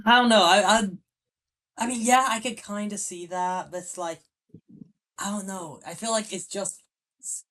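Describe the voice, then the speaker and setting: A man's voice: high-pitched, a bit bright. Rambling young podcast host man. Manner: rambling, halting, many restarts. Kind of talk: casual film review chat.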